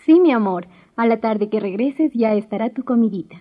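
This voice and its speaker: An actress playing a naive, boastful young newlywed housewife in a radio drama. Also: female voice, a little high, lively intonation, normal speed, natural voice. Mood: sweet, asking. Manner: sweet, wheedling, then smugly dismissive. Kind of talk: 1990s radio drama acting. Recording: dull lo-fi radio recording, music behind.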